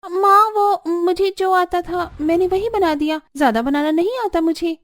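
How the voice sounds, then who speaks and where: Woman playing very high-voiced, eager young bride. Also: moderate pace. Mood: apologetic. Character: meek, eager young modern daughter-in-law. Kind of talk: Hindi cartoon story voice acting.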